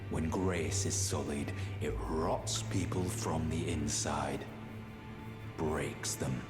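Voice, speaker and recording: An actor playing a grave, zealous knightly hunter of the undead in a fantasy game, speaking solemnly in formal, archaic phrasing. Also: male voice, a bass voice, fairly even intonation, slow pace, roomy sound, over loud music. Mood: stern, zealous. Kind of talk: scripted fantasy game dialogue.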